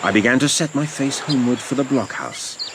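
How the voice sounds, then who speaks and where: A man's voice: mid-range voice, a little fast, noisy background, natural voice. A man playing a grown adventurer recounting his boyhood exploits, in theatrical, literary scripted narration. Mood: gripping, reflective. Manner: theatrical storytelling.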